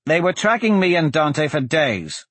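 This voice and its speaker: A man's voice: a little high, very animated, unhurried, fairly bright tone, dry recording, voice pushed up, heavily acted. A man voicing a brash, defiant young male prisoner, cocky and blunt, in a fantasy audiobook. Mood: defensive. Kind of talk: fantasy audiobook character dialogue.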